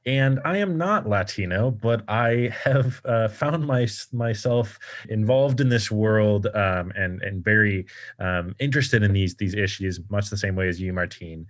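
A young man in his twenties co-hosts a podcast in a fairly low voice. He reads a scripted opening smoothly, then slips into relaxed, halting talk full of ums and restarted phrases. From United States. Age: 20s. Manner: halting, many fillers and restarts in free talk. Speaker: podcast co-host. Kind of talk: scripted intro then casual chat.

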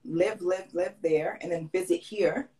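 A woman's voice: lowish voice, fairly even intonation, measured pace, warm tone. A woman fashion designer who lived in Grenada as a child, talking earnestly in a casual interview and often restarting her sentences.

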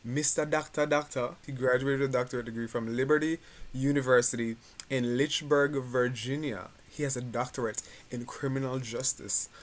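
Animated man podcast co-host. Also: mid-range voice. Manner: animated storyteller, many "um" pauses. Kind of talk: casual chat, recounting news.

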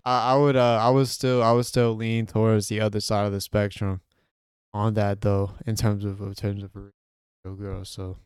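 Laid-back young man, smooth low voice. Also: flat delivery, normal speed, a little mellow, dry recording. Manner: laid-back, hesitant, repeats words. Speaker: podcast host. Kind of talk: casual chat.

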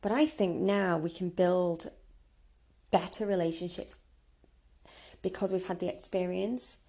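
A woman's voice: lowish voice, steady tone, unhurried, dark tone. A woman of about thirty giving informal advice on her own as a podcast host, candid and chatty, often posing rhetorical questions. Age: around 30. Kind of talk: informal solo advice talk.

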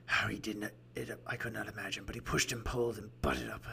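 A man voicing an earnest, wordy Victorian London shopkeeper in a raspy voice, telling his tale as in a storybook. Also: on the low side, level tone, fast, pushed and bright, slight background noise, heavily acted. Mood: marveling, breathy.

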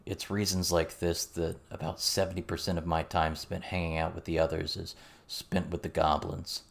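A man voicing a calm, weary soldier who speaks in a measured, grave, matter-of-fact way. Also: sits low, gravelly. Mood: reflective.

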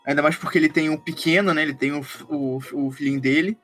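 A man's voice: on the high side, steady tone, fast, a bit bright, soft background music. A man chatting casually as a tabletop roleplay player, explaining his character.

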